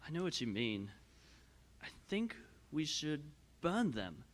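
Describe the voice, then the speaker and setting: Man acting an earnest, worried, highish-voiced man. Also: sing-song, slow. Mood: worried, resolute. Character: earnest, worried man who found secret journals. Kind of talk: audio theater dialogue.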